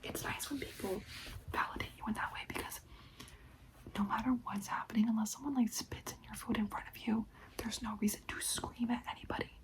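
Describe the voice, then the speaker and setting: Young woman, whispered storytime, higher voice, venting with many "likes". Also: steady tone, a little fast, low-quality recording. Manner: whispering throughout, frequent "like" fillers; exasperated venting. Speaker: part-time food service worker.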